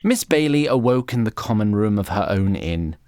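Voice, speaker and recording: Low-voiced male fantasy narrator. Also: lively intonation, normal speed, darkish tone, clear, resonant tone. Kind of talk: scripted fantasy story narration.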